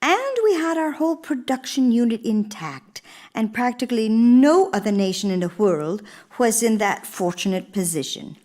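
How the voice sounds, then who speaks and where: An actress playing an elderly, gracious former First Lady, speaking in a measured, genteel, reflective way as in a stage monologue. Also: on the high side, lively intonation, a little mellow, close to an everyday voice. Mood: gracious, earnest. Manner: measured, genteel, deliberate storytelling. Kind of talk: theatrical one-woman monologue. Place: live radio theater performance in a church.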